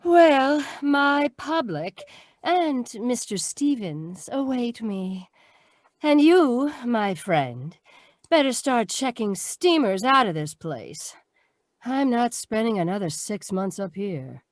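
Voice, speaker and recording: A woman's voice: on the high side, very animated, slow, no echo, studio quality. A woman playing a selfish, scheming cafe singer with expensive tastes, world-weary, in old-time radio drama style. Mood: scornful, cynical.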